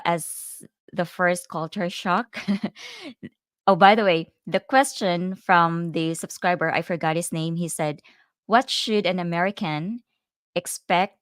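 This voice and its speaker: This is a woman with a Filipino accent who is hosting a casual livestream. She talks straight to her viewers and stops now and then to greet the live chat. Her voice sits on the low side and sounds smooth, and the recording is dry and close. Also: no echo. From Philippines. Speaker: host of a livestream.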